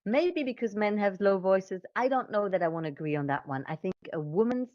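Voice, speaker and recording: A woman explaining things conversationally as a voice coach, with an expressive, emphatic way of talking. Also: mid-range voice, fairly quick, no room sound, lo-fi sound.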